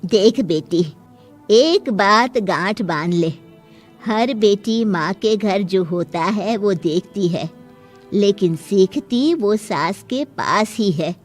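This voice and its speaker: Woman as chatty, wise mother, unhurried pace. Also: medium pitch, expressive, faint music behind. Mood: wise, advising. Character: chatty, wise middle-aged mother of the bride. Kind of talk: Hindi moral cartoon story acting.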